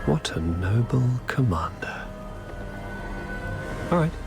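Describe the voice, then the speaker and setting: An actor playing a scheming, smug military officer villain with a taunting, theatrical, drawn-out delivery in a game cutscene. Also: male voice, medium pitch, big pitch swings, vocal fry, music in the background, exaggerated voice. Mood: smug, menacing. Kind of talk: dramatic game cutscene acting.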